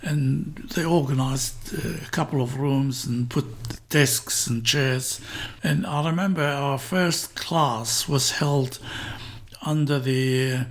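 A man's voice: medium pitch, steady tone, takes it slowly. Older Iraqi-born man recalling the past. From northern Iraq. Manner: hesitant, repeats and restarts words. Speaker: interview guest. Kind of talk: reminiscing in an interview.

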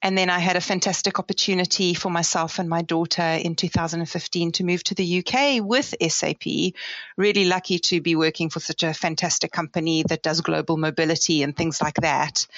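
Podcast guest: South African woman in her forties, lowish voice, chatty and self-deprecating, dry muffled remote audio. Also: fairly even intonation, moderate pace, a bit bright, dry recording. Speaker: guest, marketing director. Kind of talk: casual interview talk.

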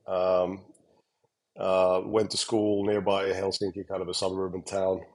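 Finnish man, husky, halting speech. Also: deep voice, completely flat delivery, a little mellow. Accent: Finnish, with American and British touches. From Finland. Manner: hesitant, many fillers and restarts. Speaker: guest scientist. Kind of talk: relaxed interview talk. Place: home or office in Helsinki.

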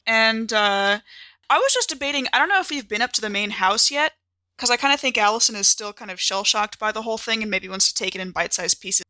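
Bright-voiced woman, casual game player. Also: a little high, animated, quick pace, dry recording. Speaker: player in a tabletop game podcast. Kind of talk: improvised casual game talk.

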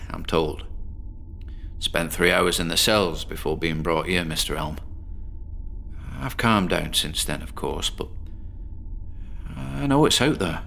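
A man's voice: fairly low pitch, fairly bright tone. An actor playing a frightened, agitated male patient in a horror audio drama, stammering nervously as he speaks.

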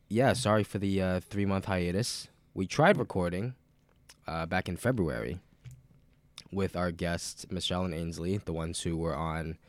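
A podcast host who is sick with a cold and sounds nasal, talking in a loose, rambling, profane way with lots of fillers. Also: male voice, deep voice, no echo. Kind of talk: casual rambling chat.

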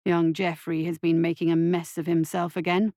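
Woman voicing a low, calm, sinister male surgeon. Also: no echo, clean studio sound, natural voice. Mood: composed. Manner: measured, softly commanding.

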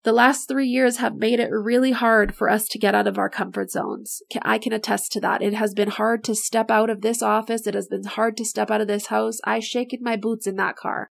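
A middle-aged woman talks through her solo podcast with a bright, motivational enthusiasm. Her voice sits a little high and carries a gravelly fry, and it sounds a touch raspy and worn from a long day of talking. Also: fairly even intonation, brisk, hardly any pauses. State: voice getting raspy, not feeling great. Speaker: podcast host and business owner. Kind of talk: casual solo podcast talk.

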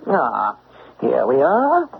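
Urbane radio cad and gambler. Gravelly creak, swooping intonation, mocking courtesy, thin old recording. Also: male voice, mid-range voice, huge pitch swings, drawn-out words, full character voice. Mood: smug, genial. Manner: urbane, mocking, drawling politeness. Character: smooth, brazen gentleman villain, a gambler and cheat. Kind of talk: classic radio drama acting. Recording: phone-like old radio recording, faint noise.

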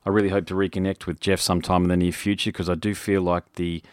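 A man's voice: low-pitched, flat delivery, quick pace, a little mellow, smooth, no room sound, clean studio sound. A man, hosting a podcast in a friendly, chatty way. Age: 40s. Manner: friendly, chatty, says mate and man a lot. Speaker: podcast host. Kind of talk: casual interview chat.

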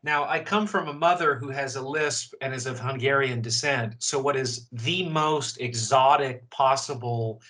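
A male host who talks in a thoughtful, measured way, sometimes pausing on fillers before a question. His voice sits in a middling range. He sounds conversational rather than scripted. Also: medium pitch, pushed and bright. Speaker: podcast host. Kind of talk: conversational interview.

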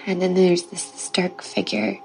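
An actress playing a frightened woman haunted by nightmares, confiding haltingly in horror film dialogue. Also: on the low side, flat delivery, creaky, a little mellow, faint music behind, poor audio. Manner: halting, repeats words.

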